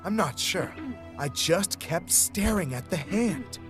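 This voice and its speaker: Male narrator, scripted storytelling, a bit high, animated pitch, music bed. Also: slow. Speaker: narrator of a played story video.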